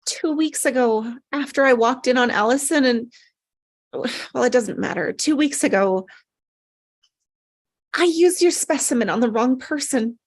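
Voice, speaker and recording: A woman acting a guilty, anxious doctor in a comedy drama table read, halting and apologetic. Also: high-pitched, breathy edge, full character voice. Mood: nervous, guilty.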